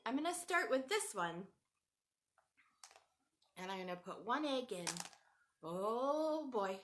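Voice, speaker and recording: A woman with a high, bright voice that swoops up and down, leading a children's craft lesson from home. She speaks slowly and in a sing-song way, as if to small children. Faint crinkling noise sits behind her. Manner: sing-song, animated, talks straight to young children. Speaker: children's show host. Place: home room with a cat nearby.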